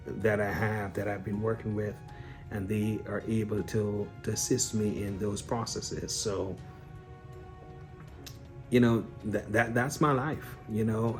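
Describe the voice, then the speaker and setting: A man from Guyana talks straight to his viewers in a relaxed, unscripted way, stopping and restarting his sentences and filling gaps with "um". His voice sits on the low side. Faint background music plays under him. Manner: unscripted, frequent fillers and restarts, addresses viewers directly. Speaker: real estate investor and business owner. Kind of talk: casual explanatory vlog talk.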